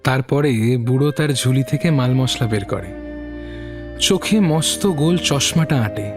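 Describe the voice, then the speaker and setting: A man's voice: medium pitch, a little slow, music in the background. A man narrating a literary short story as a scripted audio reading.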